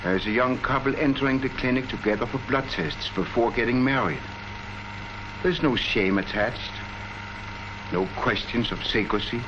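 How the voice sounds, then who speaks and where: An actor playing an earnest army colonel who presents public health facts in a declamatory, rhetorical way, in the style of a 1940s education film. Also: male voice, medium pitch, moderate pace, lightly acted. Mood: earnest, explanatory. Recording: muffled, noisy 1940s film soundtrack.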